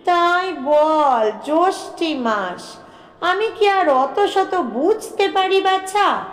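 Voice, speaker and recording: Storybook great-aunt of ninety, played by a woman: forgetful, querulous, high-pitched, dragging every word, roomy echo. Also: lively intonation, exaggerated voice. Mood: fretful rambling. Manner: drawn-out calling and rambling complaint. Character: ninety-year-old aunt, forgetful, fretful, repetitive. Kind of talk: bedtime storybook character voice. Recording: roomy, echoing voice recording.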